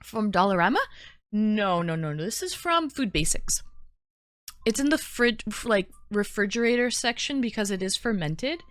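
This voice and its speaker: A woman with a Canadian accent speaks at an ordinary mid-range pitch, recorded close and dry with little room sound. She chats informally as a livestream host, talking straight to her viewers and often repeating short phrases. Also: animated, normal speed, a bit bright, dry recording. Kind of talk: casual livestream chat, greeting viewers.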